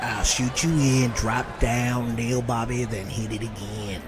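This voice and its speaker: An actor playing a terse, exasperated pro wrestler calling out moves in an audio drama. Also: male voice, medium pitch, fairly even intonation, unhurried, soft background music, lightly acted. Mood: terse, urgent.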